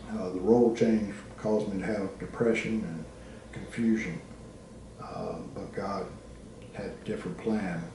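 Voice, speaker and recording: A middle-aged man giving a personal, reflective presentation as a student, speaking haltingly in short phrases with pauses. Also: fairly low pitch, fairly even intonation. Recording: very echoey, distant microphone, background noise.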